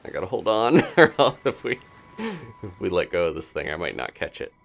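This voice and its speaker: A man's voice: low-pitched, big pitch swings, moderate pace, creaky. A man giving casual live gameplay commentary, playfully exclaiming and talking to himself as he plays. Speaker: video game streamer and host.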